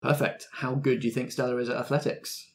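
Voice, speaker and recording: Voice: medium pitch, little pitch movement, fast talker. A game master narrating and refereeing a tabletop roleplaying game in a colloquial, encouraging way.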